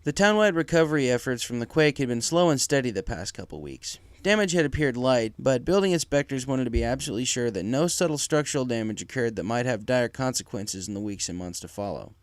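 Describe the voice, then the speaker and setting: A man's voice: medium pitch, expressive, fast, hardly any pauses, clean tone, dry recording. A man reading scripted story narration as the narrator, close to the microphone. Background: music.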